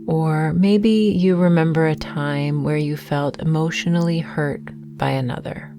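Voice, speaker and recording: Slow, low-pitched woman guiding meditation. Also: a little hiss or hum. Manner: soothing, gentle, unhurried with long pauses. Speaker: meditation guide. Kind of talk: guided meditation with affirmations. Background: soft meditation music with singing bowls.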